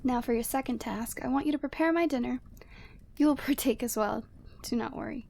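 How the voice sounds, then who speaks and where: A woman playing a proud, commanding yeti woman in a scripted ASMR roleplay, speaking in an imperious, measured and formal way. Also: high voice, creaky, darkish tone, a little hiss or hum. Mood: pleased, imperious.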